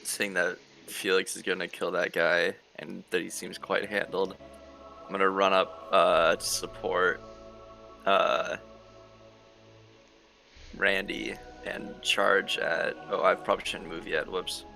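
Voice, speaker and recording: Male game player, low and even but bright-edged, thinking aloud over faint music. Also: takes it slowly, creaky. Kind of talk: casual game talk.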